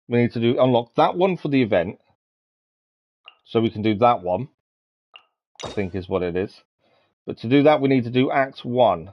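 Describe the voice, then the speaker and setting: Casual male streamer, mid-pitched, musing his way through gameplay on a dull microphone. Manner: musing aloud, hesitant. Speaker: game live streamer. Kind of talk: casual live gameplay commentary. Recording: dull lo-fi mic with game music. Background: game music.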